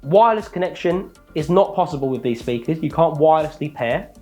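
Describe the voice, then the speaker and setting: A man, sounding, calmly walking through product features in a scripted, methodical presentation. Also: fairly high pitch, soft background music. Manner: measured, methodical listing of features.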